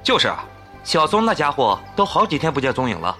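A man playing an eager, nosy young online gamer in an audio drama, excitable and gossipy. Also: medium pitch, quick pace, soft background music. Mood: nosy, concerned. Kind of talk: modern audio drama acting.

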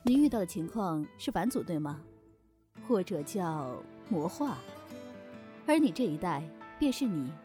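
Animated, enigmatic innkeeper, played by a woman. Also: lowish voice, unhurried. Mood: probing. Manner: teasing, self-assured, probing. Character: poised, enigmatic innkeeper of a magical inn. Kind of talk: Chinese audio drama acting. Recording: faint music behind the voice.

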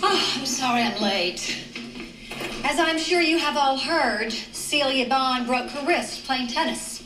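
A woman's voice: high voice, a little slow, background noise. An actress playing a bossy, controlling suburban committee mother in a TV drama, falsely polite and formal, with an American accent. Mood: brisk, doubtful. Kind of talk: TV drama acting. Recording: echoey distant room, music behind.

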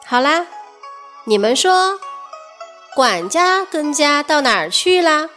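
A woman telling a scripted fairy tale to children in an expressive way. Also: high voice, slow pace, faint music behind. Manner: expressive, addresses young listeners. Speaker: children's storyteller.

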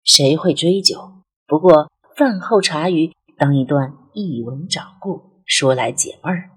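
A woman a breathy voice and reads literary narration in a measured, expressive, dramatic way, as an audiobook narrator. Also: low voice. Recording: music under the voice. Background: background music.

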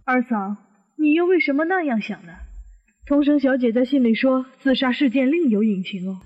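An actress playing a suspicious middle-aged aunt who questions and reasons step by step in a Chinese audio detective drama. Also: female voice, sits high, expressive, moderate pace. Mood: insistent. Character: inquisitive, suspicious middle-aged aunt probing a supposed suicide. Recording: muffled, long hall reverb.

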